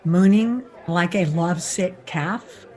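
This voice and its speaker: A woman's voice: lowish voice, slow pace, a little mellow, some chatter behind. An actress playing a knowing, worldly frontier saloon woman, wry and teasing, in a radio western. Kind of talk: old-time radio western acting.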